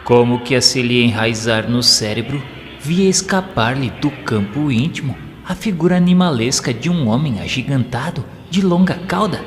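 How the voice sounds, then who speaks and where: A man's voice: mid-range voice, normal speed. A man reading scripted dramatic narration in a solemn, formal, literary manner. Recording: long hall reverb, faint music. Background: faint music.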